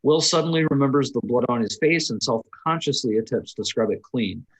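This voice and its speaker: Husky-voiced man reading stage directions. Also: medium pitch, expressive, fairly quick, low-quality recording. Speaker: reader of stage directions at a table read. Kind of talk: scripted narration, read aloud.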